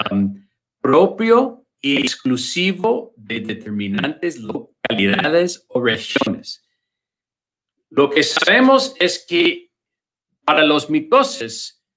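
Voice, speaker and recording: Man, American accent, native English speaker, slow and hesitant lecturer on a video call. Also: on the high side, background noise. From United States. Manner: hesitant, repeats words while searching for Spanish. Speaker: invited lecturer. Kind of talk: virtual conference lecture. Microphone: computer mic on a video call.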